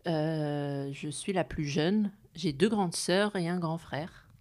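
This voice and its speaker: Woman in her 30s, low voice, talks slow w lots of umms, guest on a chat podcast. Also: darkish tone. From France. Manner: hesitant, many "euh" fillers, laughs easily. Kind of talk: casual interview chat.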